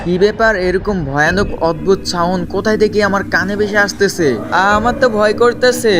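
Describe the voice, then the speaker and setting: A man's voice: high voice, a little fast, heavy background noise, lightly acted. A man voicing an obedient, easily scared schoolboy in a horror cartoon. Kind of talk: amateur animated horror cartoon dub. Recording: loud music behind voice.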